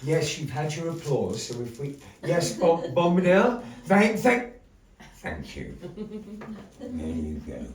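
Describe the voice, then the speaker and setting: Slow-paced male showman, very lively pitch, grandiose old-fashioned diction, live storytelling. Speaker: puppet show presenter and storyteller. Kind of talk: theatrical live storytelling for an audience. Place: gallery live performance, recorded from a distance. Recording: roomy, distant mic with background noise.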